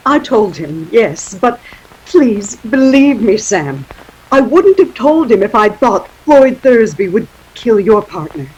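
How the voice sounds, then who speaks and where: Una donna che fa una femme fatale supplichevole e velata. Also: female voice, medium pitch, big pitch swings, takes it slowly, darkish tone, slightly rough, full character voice, poor audio. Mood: pleading. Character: pleading, duplicitous, melodramatic femme fatale. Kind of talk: 1940s detective radio play acting.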